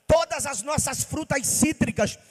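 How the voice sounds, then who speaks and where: A pastor from Bahia preaching with passion, asking rhetorical questions in a sing-song cadence. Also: male voice, very high voice, measured pace, big-room sound. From Bahia, Brazil. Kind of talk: sermon.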